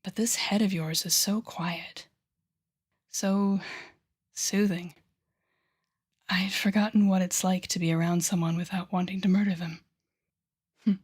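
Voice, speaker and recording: A woman playing a haughty, sardonic telepathic villain with a hidden soft side, speaking straight to the listener in an audio roleplay. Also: on the low side, steady tone, slightly rough. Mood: teasing, wistful. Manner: sardonic, theatrical, teasing, with sighs and deliberate pauses. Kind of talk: intimate audio roleplay acting addressed to the listener.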